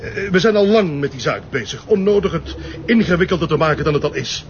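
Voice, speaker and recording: A man's voice: a little high, expressive, a little fast, lightly acted. An actor playing an irritable, self-important male police chief who hectors and repeats himself, with a Flemish accent, in a radio drama. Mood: flustered, bossy. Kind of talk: Dutch-language radio drama acting. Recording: dull lo-fi audio with faint noise.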